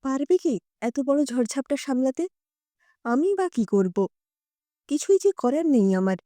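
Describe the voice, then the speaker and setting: A woman narrating a dramatic story in the first person with an emotive, expressive delivery. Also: sits high, measured pace, no room sound, studio quality.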